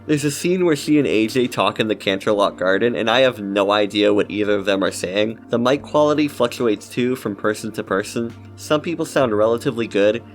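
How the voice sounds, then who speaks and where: A man giving casual scripted commentary as a video essayist. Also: lowish voice.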